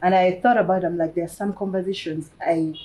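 A woman's voice: lowish voice, steady tone, quick pace, warm tone. A young woman with a Ugandan accent telling her own story as a podcast guest, candid and talkative, repeating phrases for emphasis. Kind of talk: casual personal storytelling. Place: small room with a sofa. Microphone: podcast microphone on boom arm.

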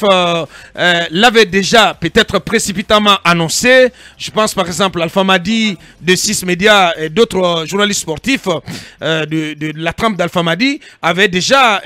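A man's voice: sits high, sharp tone, no room sound. A man hosting a radio interview in a studio, asking long questions with many fillers and restarts. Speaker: radio host. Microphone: studio mic with headphones.

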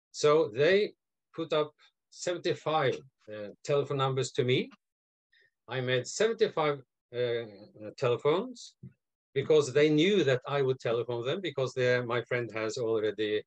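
A man's voice: medium pitch, no echo, highs cut off. Older Norwegian-born man telling a story. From Norway. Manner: hesitant, many fillers and restarts, lively retelling. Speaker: guest, advisor and mentor. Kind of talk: storytelling in a video call chat. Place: home room, indoors. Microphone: video call.

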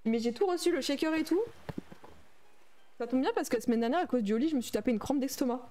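A young woman chats through a gaming livestream. Her voice sits a little high. She reads and answers viewer messages aloud in an easy, casual flow. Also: fast talker, dark tone, a little hiss or hum. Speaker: streamer. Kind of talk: casual livestream chat while playing a game.

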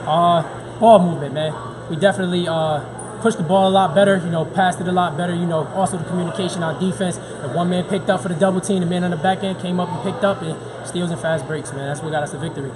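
A fast-talking young man with a fairly high voice answers as a player after a game. His talk is informal and slangy, with crowd noise behind him in the gym. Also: fairly even intonation. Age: young adult. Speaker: basketball player being interviewed. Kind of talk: casual postgame interview. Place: large indoor basketball gym.

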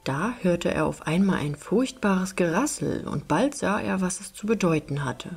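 A woman narrating a fairy tale as an audiobook reader, in an expressive storytelling style. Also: on the low side, normal speed, creaky, faint music behind.

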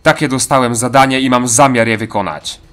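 A man voicing a stern, curt male government agent chief with a low voice in an audio horror story. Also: brisk, a bit bright, faint music behind, natural voice.

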